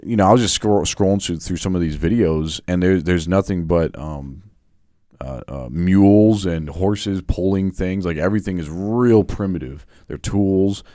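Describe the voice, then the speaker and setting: A man co-hosting a casual livestream, tossing out wry jokes. His voice sits very low. The recording is dry and close. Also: moderate pace, mellow, dry recording. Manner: joking, wry asides. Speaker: co-host.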